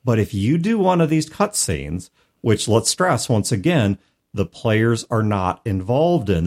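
Male host, medium pitch, highly varied intonation. Articulate, emphatic explainer in a conversational gaming discussion. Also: a little mellow. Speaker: podcast host. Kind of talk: conversational advice on tabletop game mastering.

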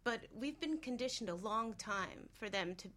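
A woman's voice: fairly high pitch, animated, moderate pace, fairly bright tone, distant mic, a little hiss or hum. A woman on a radio talk panel, speaking candidly and emphatically, often posing rhetorical questions. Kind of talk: candid conversational discussion.

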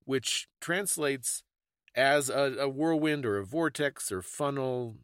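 A man's voice: medium pitch, takes it slowly, a bit bright, no room sound, clean studio sound. A man co-hosting a podcast, casually discussing folklore and stories in a thoughtful, explanatory way. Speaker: podcast co-host.

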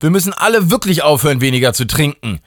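Brief: easygoing boozy god, high and loud, as a male narrator puts him on. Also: animated, quick pace, no echo, studio quality, close to an everyday voice. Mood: jolly.

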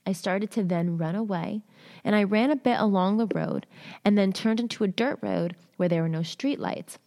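A woman hosting a show, reading a listener's submitted story aloud in short halting phrases, then chatting candidly with casual slang. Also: mid-range voice, warm tone. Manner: candid, uses swearing and slang. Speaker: host reading listener stories.

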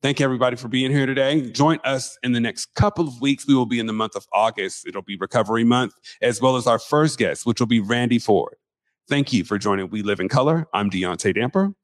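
A man hosting a casual chat show, lively and animated in his talk. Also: mid-range voice, fairly quick, no echo. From Pacific Northwest. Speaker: host.

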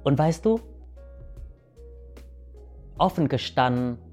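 Halting man. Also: mid-range voice, animated, darkish tone, soft background music. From Vietnam. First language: Vietnamese. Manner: halting, many restarts and fillers, asks "weißt du". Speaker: guest. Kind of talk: reflective personal storytelling.

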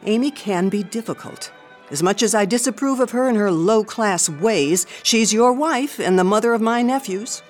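Snobbish society woman for radio drama: actress with medium pitch, lively intonation, disapproving, music underneath. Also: moderate pace, natural voice. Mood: disdainful. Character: snobbish, disapproving rich woman, cool and incredulous.

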